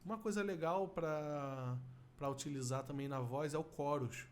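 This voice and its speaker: A middle-aged man with a Brazilian accent giving an informal software tutorial as an audio effects instructor, explaining step by step. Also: fairly high pitch, moderate pace. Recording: steady room noise.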